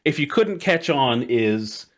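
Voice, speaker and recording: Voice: mid-range voice, animated, slow pace. Podcast co-host, casual chat, amused, giggly, mid-range voice. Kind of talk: casual chat, summarizing research.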